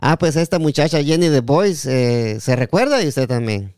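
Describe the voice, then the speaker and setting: A man hosting a casual interview, halting and often seeking agreement with tag questions. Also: on the high side, lively intonation, a little mellow, dry recording, clean studio sound. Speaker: podcast host.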